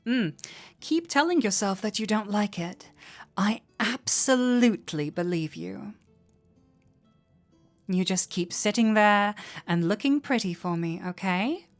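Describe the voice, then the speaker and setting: A woman playing a flirty, warm-hearted tavern innkeeper in an intimate roleplay audio drama, teasing coyly and playfully. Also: mid-range voice, animated, faint music behind. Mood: teasing, amused. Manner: coy, playful, unhurried teasing.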